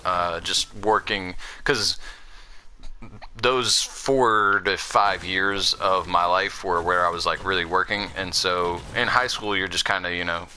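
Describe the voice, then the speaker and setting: A man's voice: low voice, steady tone, slow pace, sharp tone. A young American man from the South with a mixed Southern and Northern accent, chatting casually and rambling while he streams a game. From Southern United States. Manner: rambling, many fillers and restarts. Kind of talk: casual chat while gaming.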